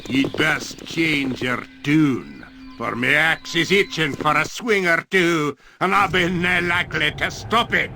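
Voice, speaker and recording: A man playing a gruff, threatening axe-wielding fighter with a gravelly Scottish accent in a fantasy game. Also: on the high side, unhurried. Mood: menacing. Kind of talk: fantasy game character acting. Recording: music behind the voice.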